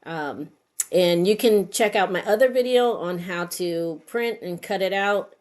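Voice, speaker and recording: A middle-aged woman hosts an informal how-to, her voice sitting a little low. She explains each step unscripted, thinking aloud as she goes. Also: measured pace, darkish tone. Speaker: craft tutorial host. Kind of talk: informal step-by-step screen tutorial narration.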